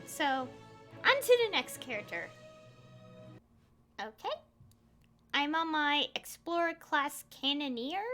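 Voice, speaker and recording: A woman's voice: high voice, a bit bright. A woman giving casual, offhand game commentary, chatting informally to her viewers. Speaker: gaming video creator.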